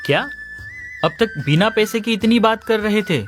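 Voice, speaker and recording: A man playing an earnest, trusting village shepherd who is easily upset, in an animated folk story. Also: sits high, normal speed, natural voice. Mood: worried. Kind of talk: Hindi animated moral story dialogue. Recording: music under the voice.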